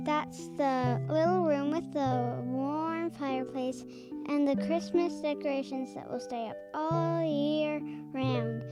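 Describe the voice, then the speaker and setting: A performer playing a sweet, innocent little girl in a holiday radio drama, speaking earnestly and artlessly. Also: sits high. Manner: earnest, artless, halting childlike delivery. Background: music.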